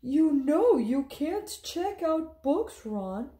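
Reader woman as a storybook library lady, high, slow, careful, insistent, gentle. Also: very animated, reverberant, heavily acted. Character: gentle but rule-bound library lady.

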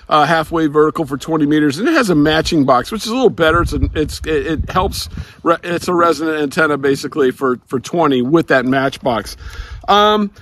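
An older man talking casually and explaining things to camera as a hobby radio vlogger, with a halting, rambling delivery that repeats words. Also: on the high side, fairly bright tone, dry recording. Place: outdoors in a grassy backyard.

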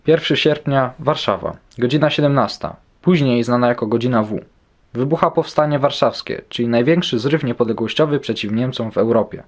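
A man's voice: mid-range voice, a little fast. A man giving a solemn scripted reading of historical speeches and announcements for a radio documentary.

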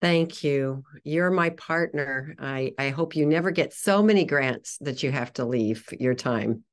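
A woman's voice: very deep voice, measured pace. An older woman pediatrician explaining her clinic plainly over a video call from a small office. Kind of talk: casual panel talk on video call. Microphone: computer webcam on video call.

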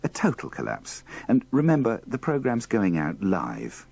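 A man delivers scripted comic narration as a host. His voice is low and rather husky, with hugely varied intonation and a dry, wry manner. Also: wildly swooping pitch, moderate pace, darkish tone.

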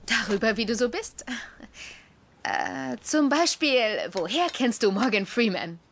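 A woman's voice: a little high, animated, a little slow, vocal fry, slight background noise. An actress playing a blunt, awkward young woman doctor in a German-dubbed TV comedy-drama. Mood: awkwardly friendly.

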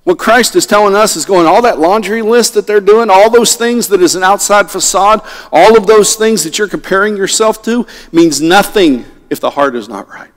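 A middle-aged man preaching a sermon from a pulpit, swinging between loud animated lines and hushed ones. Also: high voice, normal speed, few pauses. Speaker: pastor. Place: church sanctuary at a pulpit. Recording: long reverb of a church hall.

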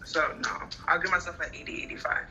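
A woman's voice: on the low side, steady tone, pushed and bright, highs cut off, slight background noise. A young woman from Honduras, a guest in a casual video call interview, talking from a phone in a small bedroom and filling her speech with "like". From Honduras, Garifuna. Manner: casual, fast, peppered with "like". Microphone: phone at arm's length on video call.

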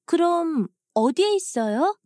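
High, lively young woman read by an actress for textbook dialogue audio. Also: slow pace, heavily acted. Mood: polite.

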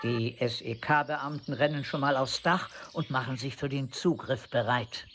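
A man's voice: mid-range voice, moderate pace, fairly bright tone, a little hiss or hum. A man narrating a scripted radio play as storyteller.

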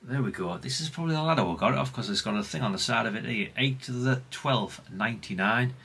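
A middle-aged British man talks through hobby repairs off the cuff. His voice is husky and creaky, with a forceful, bright edge. He rambles along chattily and plainly, often tagging questions onto his remarks. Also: mid-range voice, animated, quick pace. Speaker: hobbyist radio repair vlogger. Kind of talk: casual unscripted workbench commentary.

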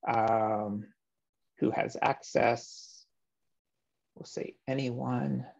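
Gravelly-voiced man explaining code. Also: medium pitch, extremely slow. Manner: relaxed, explanatory, many "um" fillers. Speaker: meetup presenter. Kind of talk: screen-share coding walkthrough. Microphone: webcam or laptop mic on a video call. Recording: slightly dull video-call audio.

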